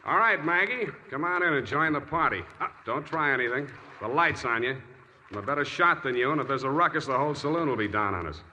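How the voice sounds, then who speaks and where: An actor with an American accent playing a sardonic, hard-boiled private detective in a 1940s radio drama. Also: male voice, medium pitch, animated, quick pace, pushed and bright. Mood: tense, commanding. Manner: sardonic, wry. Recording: old 1940s radio recording, faint noise.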